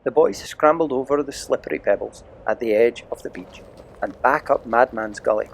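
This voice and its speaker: A man's voice: mid-range voice, moderate pace, vocal fry, rough recording. A man narrating an adventure audiobook as a dramatic, theatrical storyteller with emphatic delivery.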